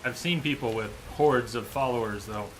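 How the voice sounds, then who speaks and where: A man's voice: mid-range voice, normal speed, background noise. Man musing through casual gameplay commentary. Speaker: video game streamer. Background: game music and rain.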